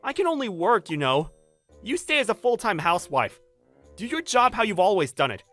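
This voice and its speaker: A woman's voice: medium pitch, very animated, brisk, a bit bright, soft background music. A woman voicing a selfish husband who refuses to do housework, in a blunt, demanding manner for a manga dub. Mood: dismissive.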